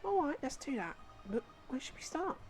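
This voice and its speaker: A woman's voice: high-pitched, big pitch swings, moderate pace, soft background music, pitched above the performer's own voice. A woman voicing an eager, polite and inquisitive young boy apprentice in a video game. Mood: eager, curious.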